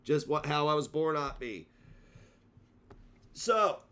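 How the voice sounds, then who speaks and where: A man's voice: on the high side, animated, slow pace, bright, forceful tone. A man, chatting casually on a livestream in a rambling, self-mocking way and tripping over his words. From Kentucky.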